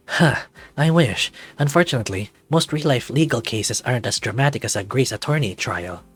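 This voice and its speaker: A man's voice: mid-range voice, lively intonation, normal speed, breathy edge, soft background music. Man voicing a composed, self-assured dragon lawyer. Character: suave, proud male dragon lawyer in a suit.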